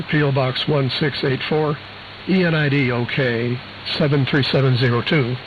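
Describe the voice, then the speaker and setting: A man presenting a scripted shortwave news bulletin, precisely reading out figures and spelled letters. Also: medium pitch. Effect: shortwave radio transmission. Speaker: radio program presenter. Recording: muffled, hissy shortwave radio broadcast.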